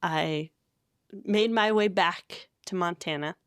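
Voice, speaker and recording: A woman's voice: on the low side, slow pace, no echo, studio quality. An American woman in her thirties from Connecticut, telling her own story casually as an interview guest. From Connecticut, USA. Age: 30s. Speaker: podcast guest, campus ministry worker.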